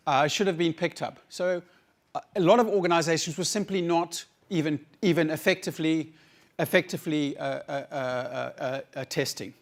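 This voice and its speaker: A man with a South African accent giving a conference talk, haltingly, often repeating words as he searches for the next phrase. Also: high-pitched. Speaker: conference speaker, academic researcher.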